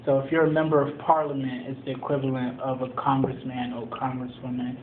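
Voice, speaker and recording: A young man from Tanzania, a college student, answering interview questions in a measured, explanatory way with many pauses and ums. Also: mid-range voice, level tone. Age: young adult. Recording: echoey room over a tinny phone-like line with background noise.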